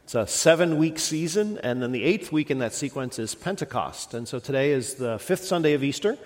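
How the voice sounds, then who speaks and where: A man's voice: mid-range voice, animated, a little fast. A middle-aged male pastor with a slight head cold, preaching a sermon in a conversational, meandering way with frequent restarts. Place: church sanctuary. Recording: long reverb of a church hall.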